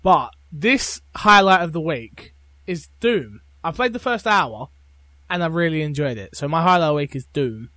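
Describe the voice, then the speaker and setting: Higher-voiced man, dry close sound. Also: animated, moderate pace, bright, forceful tone, no room sound. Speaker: podcast co-host. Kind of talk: casual group podcast chat.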